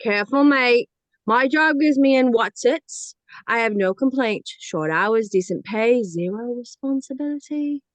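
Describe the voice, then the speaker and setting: A woman's voice: a little high, expressive, a little slow, dry recording, poor audio. A woman playing a laid-back, middle-aged slacker friend in a script read-through, wry and unbothered.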